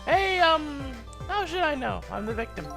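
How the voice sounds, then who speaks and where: A high, creaky-edged, wildly swinging voice for a headstrong lady detective acted by a woman in a mystery game. She is emphatic, defensive and highly strung. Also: very animated, normal speed, a bit bright, faint music behind, full character voice. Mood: brazen.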